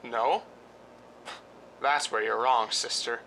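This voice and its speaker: A man acts a brooding, volatile husband from an old-style suspense radio play. The voice is mid-pitched with a bright, tense edge, and the delivery halts. Slight rumbling noise runs underneath. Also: steady tone, slow. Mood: menacing. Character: handsome, weak, violent-tempered husband, a former lifeguard. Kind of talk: 1940s-style suspense radio drama acting. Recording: noisy recording with low rumble.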